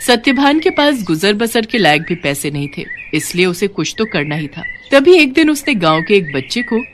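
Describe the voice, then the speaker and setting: A woman reading a scripted moral story as narrator, in an expressive storytelling manner. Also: medium pitch, moderate pace, a little hiss or hum.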